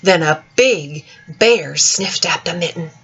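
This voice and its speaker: A woman reads a children's storybook aloud in an expressive, dramatic way, speaking directly to young listeners, with a husky voice. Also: on the low side, slow, muffled recording. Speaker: storyteller reading a picture book to children.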